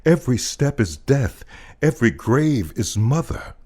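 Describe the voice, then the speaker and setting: A male voice-over artist giving a solemn, dramatic reading of poetic prose, with deliberate and theatrical emphasis. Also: medium pitch, very animated, dark tone. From United States.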